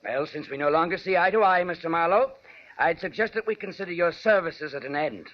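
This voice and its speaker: A man's voice: on the high side, expressive, fairly quick, close to an everyday voice. An actor playing a stern, curt, domineering rich old man in a 1940s radio drama. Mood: stern, dismissive. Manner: curt, imperious. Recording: muffled vintage radio broadcast.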